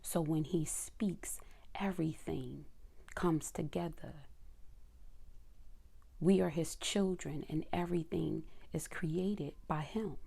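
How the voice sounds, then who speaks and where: A woman preaches in a soft, intimate whisper, as if talking to her own children. Her voice sits low and gravelly, with a breathy, husky edge, and she takes her time over every phrase. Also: slow pace, pauses often. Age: adult mother. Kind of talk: whispered devotional sermon reading scripture.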